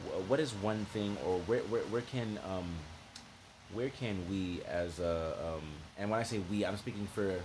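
A man's voice: low voice, unhurried. A young man hosting a podcast interview, affirming his guest with frequent "right" and "mm-hmm" and asking long, winding questions. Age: young adult. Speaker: podcast host. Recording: background noise.

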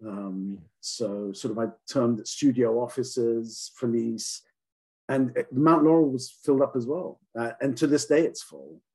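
Guy from england on a call, kinda husky, mellow dark tone, lots of ums. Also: mid-range voice. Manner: halting, many ums and restarts. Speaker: office landlord guest. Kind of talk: casual interview talk. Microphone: call audio.

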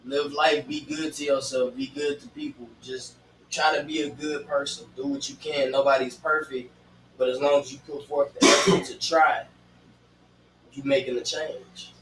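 A man's voice: mid-range voice, little pitch movement, normal speed. A young man co-hosting a casual chat, speaking in an informal, slangy way. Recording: roomy, distant mic, faint noise.

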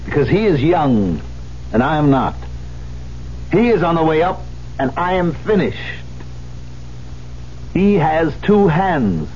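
Volatile, bitter aging pianist, swooping high voice. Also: male voice, sing-song, slow, long pauses, a little mellow. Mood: resigned, bitter. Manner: blunt, sarcastic, exacting. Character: bitter, proud, irascible aging male concert pianist, now finished. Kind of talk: 1970s American radio drama acting. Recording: dull 1970s radio broadcast, faint hum.

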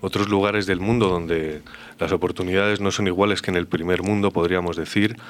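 A man's voice: sits low, fairly even intonation, fairly quick. A man interviewing a guest, asking probing questions with frequent hesitations and filler sounds.

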